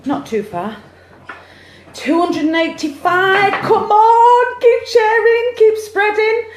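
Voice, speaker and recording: A woman's voice: very high-pitched, slow, noisy background. Woman medium on a phone livestream, bubbly and chatty, echoey room. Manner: chatty, excitable, cheering on share counts. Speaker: psychic medium. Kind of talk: live-streamed card reading.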